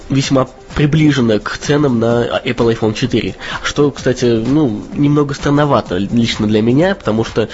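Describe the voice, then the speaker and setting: Man, slight cold, podcast co-host, hesitant, many ums, medium pitch, dull lo-fi audio. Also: a little mellow. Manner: hesitant, with frequent uh and um. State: voice a bit off from a cold. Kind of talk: casual news podcast chat.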